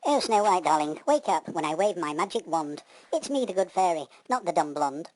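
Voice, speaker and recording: A woman's voice: fairly low pitch, animated, normal speed, background noise, lightly acted. A woman voicing a sassy, helpful good fairy in a pantomime, speaking in theatrical rhyme. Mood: reassuring. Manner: theatrical rhyming delivery.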